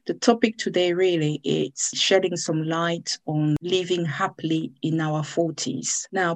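A woman's voice: fairly low pitch, dry recording. A woman hosting a podcast interview in a courteous, gracious way. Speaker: podcast host.

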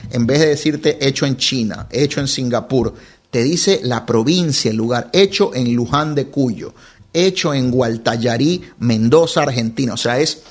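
A man in his forties from Ecuador, chatting as an interview guest, telling stories in an animated way and laughing often. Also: mid-range voice, moderate pace. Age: 40s. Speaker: podcast guest, wine content creator. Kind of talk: casual interview storytelling.

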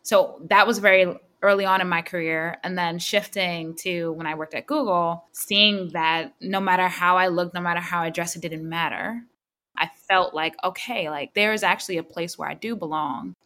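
A panelist with a feminine voice, telling career stories candidly and matter-of-factly. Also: female voice, lowish voice, steady tone, moderate pace, sharp tone. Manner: candid, storytelling, matter-of-fact. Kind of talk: panel discussion.

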